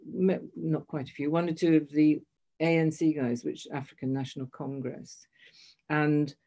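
Older woman with a low voice, hesitant. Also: little pitch movement, moderate pace, warm tone. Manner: hesitant, many restarts and self-corrections. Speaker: guest. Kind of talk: reflective storytelling in conversation.

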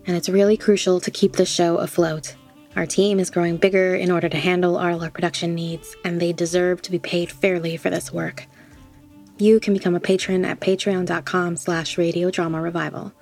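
A woman's voice: fairly low pitch, a little mellow. A woman podcast host reading a scripted promotional announcement. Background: music.

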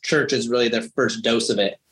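A man's voice: medium pitch, steady tone, a little fast, fairly bright tone, a little hiss or hum. A man in his thirties, a native Greek speaker, chatting as a podcast guest with long enthusiastic run-on sentences. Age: 30s. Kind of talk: casual interview chat.

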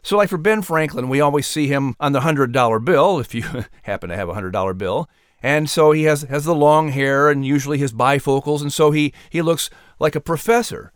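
A man's voice: a little high, a little fast, clear, resonant tone, dry recording, studio quality. A man speaking as an announcer and voice actor, explaining in a conversational interview style.

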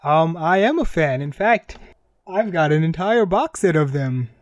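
Male radio host, higher-pitched voice, dry close sound, casual chat introducing songs. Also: lively intonation, unhurried, no room sound, lo-fi sound. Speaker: host of an anime radio show.

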